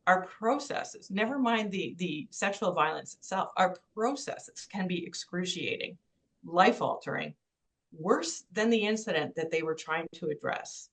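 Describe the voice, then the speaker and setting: A woman from Canada giving an earnest, emphatic webinar talk, stressing key points with pauses. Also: lowish voice, animated, vocal fry. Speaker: webinar presenter. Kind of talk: prepared presentation.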